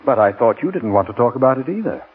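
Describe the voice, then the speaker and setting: Over a tinny 1940s radio broadcast, a sober, regretful ex-prosecutor speaks in classic radio-drama style. His mid-range voice has wide, lively inflection. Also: medium pitch, fast, breathy edge. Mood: puzzled. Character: sober, regretful former district attorney, mature man.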